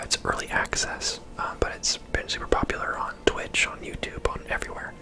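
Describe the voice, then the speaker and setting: A person giving casual, unhurried ASMR gaming commentary in a soft, breathy whisper close to the microphone. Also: poor audio.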